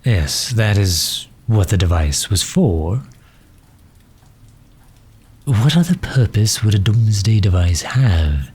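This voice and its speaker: A man playing a pompous, pedantic but affable gentleman supervillain in an ASMR roleplay, with a husky, breathy voice and a wordy, condescending manner full of hesitant pauses. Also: lowish voice, lively intonation, full character voice. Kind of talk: ASMR roleplay audio drama.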